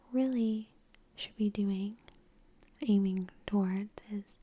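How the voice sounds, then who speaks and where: A woman whispering gently and unhurriedly in a breathy voice, close to the microphone, guiding an ASMR meditation with long pauses. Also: medium pitch. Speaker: ASMR creator and meditation guide. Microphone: close microphone in front of the face.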